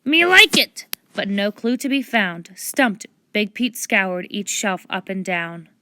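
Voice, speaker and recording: A woman reading a storybook aloud with expressive children's narration. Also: medium pitch, slow. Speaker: storybook reader.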